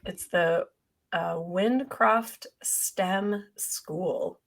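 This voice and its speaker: Hoarse woman chatting casually. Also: lowish voice, extremely slow. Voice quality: scratchy. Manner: chatty, laughs often. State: hoarse, losing her voice. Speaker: podcast co-host. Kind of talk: casual chat.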